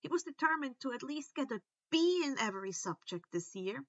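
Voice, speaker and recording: Female story narrator, high voice, expressive delivery with drawn-out emphasis, slightly muffled lo-fi sound. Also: dry recording. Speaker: audiobook-style reader of fan fiction.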